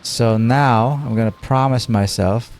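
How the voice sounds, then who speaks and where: A man's voice: medium pitch, a little slow, a little mellow. A man streaming a game with casual, reactive, jokey commentary. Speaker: gaming streamer. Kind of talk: casual let's-play commentary. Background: game music.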